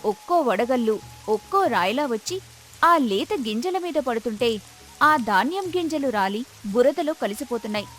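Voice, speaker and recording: A woman's voice: a little high, animated, normal speed, fairly bright tone, soft background music. A woman narrator telling a moral story in scripted storytelling style.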